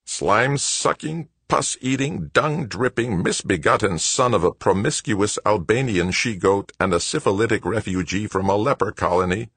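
A man voicing a gruff, exasperated lunar worker who curses methodically in blue-collar speech for an audiobook. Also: fairly low pitch, normal speed, hardly any pauses, fairly bright tone, dry recording, pitched above the performer's own voice. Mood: methodical cursing. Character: Wojo, gruff cursing male lunar worker, exasperated, salty-tongued. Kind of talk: audiobook character voice.

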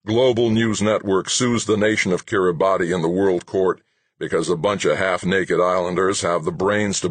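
A man's voice: low-pitched, breathy edge, no room sound. A man voicing a furious, bullying middle-aged media boss in an audiobook, bellowing with sarcasm and swearing. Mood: sarcastic. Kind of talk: audiobook character dialogue.

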